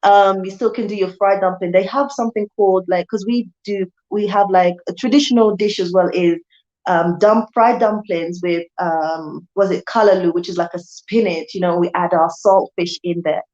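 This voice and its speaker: A woman's voice: mid-range voice, fairly even intonation, moderate pace, darkish tone, lo-fi sound. Chatty woman hosting a livestream. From Jamaica. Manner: chatty, repeats herself, addresses viewers as guys. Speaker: channel host. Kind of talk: casual livestream chat.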